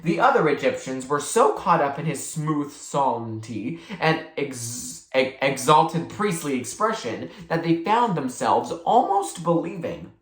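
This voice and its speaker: Read-aloud narrator for a children's novel, highly varied intonation, roomy acoustic. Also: sing-song, measured pace, hardly any pauses. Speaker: book reader. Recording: echoey room.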